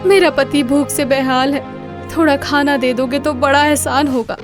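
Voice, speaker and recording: A woman voicing a devout, meek young village wife in an animated Hindi moral story, pleading and dutiful. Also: high-pitched, moderate pace, music in the background, lightly acted.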